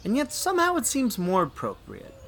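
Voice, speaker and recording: A man's voice: extremely high pitch, wildly swooping pitch, a little fast, a bit bright, slight background noise. A man hosting a radio show with scripted links and comic skits, in a dry, sceptical manner.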